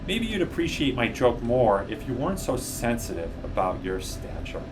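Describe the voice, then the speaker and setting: A man voicing a wise old tree in a storybook, measured, gently joking and reflective. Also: medium pitch, very animated, noisy background, lightly acted. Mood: playful. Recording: music behind the voice.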